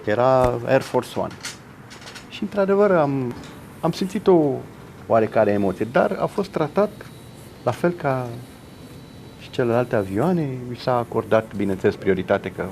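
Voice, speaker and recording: A man's voice: medium pitch, expressive, a little mellow. A Romanian man, an air traffic controller, talking in a documentary interview. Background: faint music.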